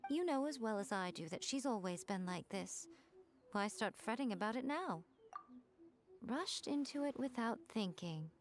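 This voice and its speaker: A woman's voice: a little high, expressive, moderate pace, natural voice. Woman playing a sly, teasing noblewoman. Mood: amused. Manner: teasing, languid and knowing. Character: sly, teasing adult woman of high rank, amused and patient. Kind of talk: video game voice acting.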